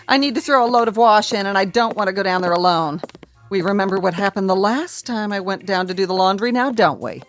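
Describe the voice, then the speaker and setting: An actress playing a domineering, bigoted, nagging wife in a radio drama, sarcastic, commanding and full of contempt. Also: female voice, medium pitch, brisk, soft background music, natural voice.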